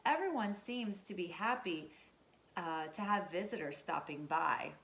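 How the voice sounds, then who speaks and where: A woman's voice: on the low side, animated, a little slow, creaky, fairly bright tone. A woman teacher reading a children's storybook aloud and checking in with her listeners in an instructive way. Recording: echoey room.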